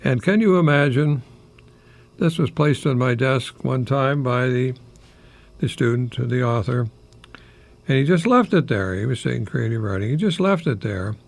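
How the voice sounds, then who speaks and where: An older American man, a retired professor, giving a folksy, rambling radio monologue in a gravelly, husky voice with pauses mid-phrase. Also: medium pitch, lively intonation. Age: older, retired, 60s or above. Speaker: retired English professor hosting a radio program.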